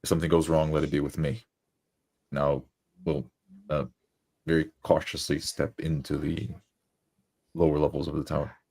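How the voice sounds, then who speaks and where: A man playing a tabletop roleplay game, narrating his character's actions in a halting, deliberate way. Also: very deep voice, flat delivery, gravelly, darkish tone.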